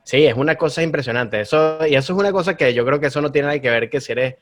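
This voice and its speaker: A man with a Venezuelan accent, a stand-up comedian chatting as an interview guest in a relaxed, rambling way. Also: fairly high pitch, quick pace. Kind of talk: casual conversation.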